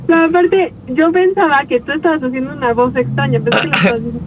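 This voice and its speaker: A woman's voice: high-pitched, quick pace. A young woman with a Mexican accent chatting casually through a telephone speaker. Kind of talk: casual phone chat. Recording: dull speakerphone in noisy car.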